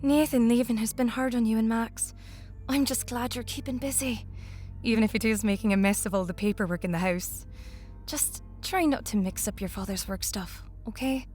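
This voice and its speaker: A woman's voice: on the high side, normal speed, a little mellow, natural voice. A woman playing a smug, scheming stepmother in an audio drama, with a mocking, condescending and needling manner. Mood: falsely sweet, smug. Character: smug scheming stepmother, falsely sweet then openly cruel.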